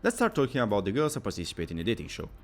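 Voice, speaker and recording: A man's voice: fairly low pitch, huge pitch swings, racing. A man narrating a scripted video game review in a wordy, opinionated, somewhat formal way. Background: background music.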